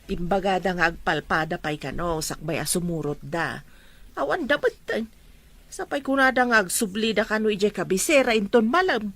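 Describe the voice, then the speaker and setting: A woman's voice: medium pitch, expressive, normal speed, fairly bright tone. A woman with an Ilocano accent playing a nagging, doubtful farm mother in a family drama. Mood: doubtful, complaining. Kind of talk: amateur Ilocano radio-style family drama.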